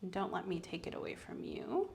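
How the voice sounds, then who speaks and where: A soft-spoken woman playing a medical examiner in an ASMR roleplay, gently giving instructions and encouragement. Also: on the low side, huge pitch swings, brisk, vocal fry, background noise.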